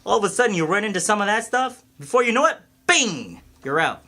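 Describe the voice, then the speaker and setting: A folksy country constable, played by a man, excitable and plain-spoken, in a radio mystery. The voice is bright and forceful and swings widely up and down. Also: high voice, brisk, exaggerated voice. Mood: folksy, wary. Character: folksy male small-town constable and ambulance driver, excitable. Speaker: amateur voice actor in an audio mystery. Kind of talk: scripted radio mystery acting.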